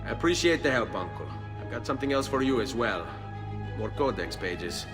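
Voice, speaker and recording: Game hero, male, young, steady and resolute, voiced by an actor, lively pitch with faint music. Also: a little fast, big-room sound, lightly acted. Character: young male Italian assassin, determined and steady. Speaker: lead hero.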